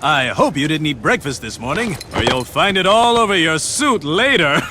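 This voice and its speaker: A man's voice: a little high, big pitch swings, noisy background. An actor playing a brash, ratings-hungry racing commissioner and presenter in a video game, showy and condescending in his sports announcing. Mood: grandiose. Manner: showy, condescending, drawn-out emphasis. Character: brash, ratings-hungry male racing showman, taunting and bombastic.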